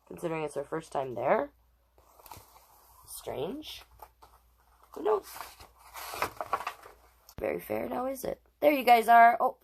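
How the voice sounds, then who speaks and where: A woman with a fairly low voice reads a children's story aloud. She is expressive and chatty, often stepping out of the text to talk straight to her viewers. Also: vocal fry. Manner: expressive, chatty, addresses live viewers between passages. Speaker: storytime host and drag king reader. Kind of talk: reading a children's picture book aloud with chatty asides.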